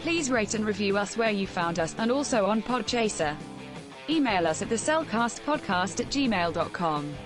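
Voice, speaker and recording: A woman's voice: mid-range voice, moderate pace. Woman announcing over guitar music. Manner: even, listing delivery. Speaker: podcast outro announcer. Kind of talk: scripted read-out.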